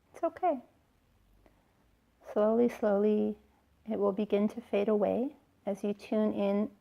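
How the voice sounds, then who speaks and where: A woman with a creaky, fry-tinged voice leads a guided relaxation as a yoga teacher. She speaks gently and soothingly, leaving space between phrases. The recording is a little dull and lo-fi. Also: mid-range voice. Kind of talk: slow guided relaxation instruction.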